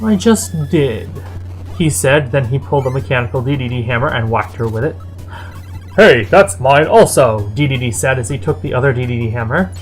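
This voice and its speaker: A woman reading a story aloud as narrator, with an expressive, theatrical delivery. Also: a bass voice, quick pace, hardly any pauses, faint music behind. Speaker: fan fiction reader.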